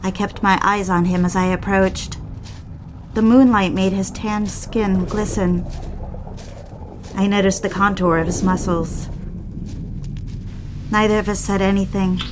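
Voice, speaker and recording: An actress playing an adoring, playful newlywed wife in a romantic audio drama, with tender, coy, rather formal delivery. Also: female voice, medium pitch, steady tone, unhurried, long pauses, noisy background, lightly acted. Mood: wistful narration. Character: adoring, teasing young newlywed wife on honeymoon. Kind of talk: romantic audio drama dialogue and first-person narration. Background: music.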